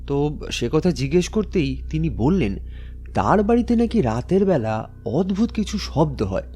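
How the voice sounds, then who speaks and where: A man's voice: on the high side, big pitch swings, normal speed, warm tone, slightly rough, soft background music. A man playing a troubled, earnest office worker in an audio story, speaking hesitantly and respectfully. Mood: pensive, puzzled. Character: Kishori, troubled male office-going friend, earnest and hesitant. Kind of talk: Bengali audio story acting.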